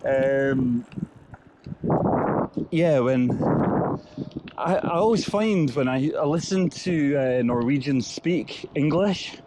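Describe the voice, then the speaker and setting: Man, unhurried chatty talk, lots of ums, outdoor bike ride, noisy wind and cars. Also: medium pitch, lively intonation. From Scotland. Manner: rambling, chatty, many fillers. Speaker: vlogger cycling. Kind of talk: casual chat while riding. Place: outdoors on a bike by a coastal road.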